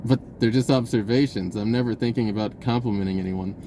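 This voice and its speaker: A man telling a personal story in a casual, rambling vlog style, stammering, blurting and re-enacting conversations excitably. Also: on the low side, quick pace, a little hiss or hum. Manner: blurting, self-interrupting, stammering over phrases.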